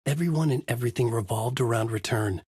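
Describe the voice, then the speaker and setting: A man narrating a dark thriller game as a brooding, haunted writer, in a deliberate, literary, dramatic delivery. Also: mid-range voice, breathy edge, dry recording, clean studio sound. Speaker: voice actor playing a writer protagonist who narrates.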